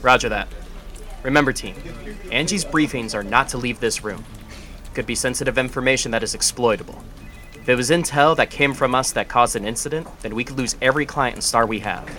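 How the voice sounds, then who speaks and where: An upbeat boss chairing the morning meeting, voiced by a man in an audio drama. His voice is bright and forward, and he sounds in charge. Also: medium pitch, brisk, natural voice. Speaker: foundation director leading a meeting.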